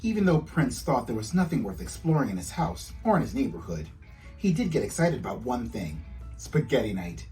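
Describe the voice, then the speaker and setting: Animated man reading aloud to children. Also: medium pitch, fairly quick, distant mic, faint music behind, poor audio. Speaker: children's author reading own book. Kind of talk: storybook read-aloud for children.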